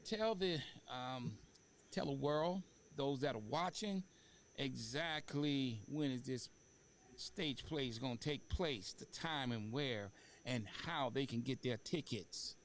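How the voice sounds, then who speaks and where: A man hosting a talk show in a casual interview style, speaking haltingly and repeating words for emphasis. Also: medium pitch. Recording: faint background noise.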